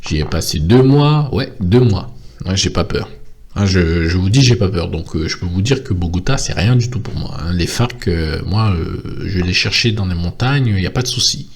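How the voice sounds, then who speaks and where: A man's voice: deep voice, animated, normal speed, breathy edge. A young man from French Guiana talking casually to his viewers, rambling with many fillers and restarts. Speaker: vlogger. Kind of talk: casual monologue to camera.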